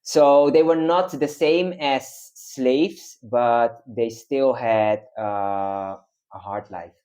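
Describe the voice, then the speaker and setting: A man's voice: medium pitch, very slow, darkish tone. A young man from the Netherlands, a guest in a casual interview, talking in a hesitant way with many ums and restarts.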